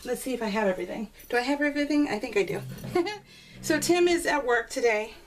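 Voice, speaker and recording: A woman chatting casually and rambling as a craft video host, giggly and self-deprecating, telling stories with animated exclamations. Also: on the high side, quick pace. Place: at home, voice recorded live while crafting. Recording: noisy home recording with clicks.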